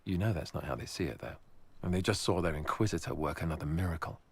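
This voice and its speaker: An actor playing a brave, dutiful male warrior in a video game, with a wry and expressive delivery. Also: deep voice, quick pace, husky. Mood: wry, relieved. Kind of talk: dramatic video game acting.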